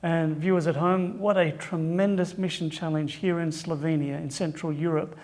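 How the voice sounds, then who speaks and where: A man's voice: a little high, steady tone, normal speed, darkish tone. A man hosting a mission program, presenting and interviewing in an upbeat, articulate, polished manner. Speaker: TV program host.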